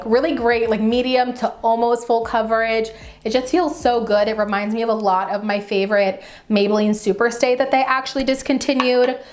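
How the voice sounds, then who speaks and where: Woman, somewhat high voice, slight dry rasp, getting over flu, casual chatty product reviewer, enthusiastic, informal. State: getting over the flu, mild sore throat. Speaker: beauty vlogger, channel host.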